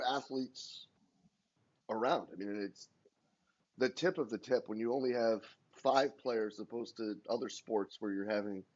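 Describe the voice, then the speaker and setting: A man's voice: lowish voice, a little mellow. A man hosting a podcast, asking long, winding interview questions. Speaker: podcast host. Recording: dull lo-fi audio.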